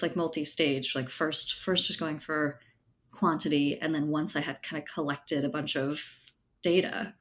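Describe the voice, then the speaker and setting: A woman with a distinctly low voice speaks as a guest in a casual interview. She explains her thinking thoughtfully, sprinkling in "you know" and "like" as she works through each point. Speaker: interview guest, writer and editor. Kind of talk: casual conversational interview answers.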